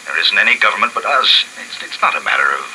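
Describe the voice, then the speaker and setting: An actor playing a steady community leader in his fifties, narrating calmly and speaking firmly, in vintage radio drama style. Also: deep voice, a little hiss or hum, exaggerated voice, low-quality recording. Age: 50s. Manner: calm, firm, measured narration.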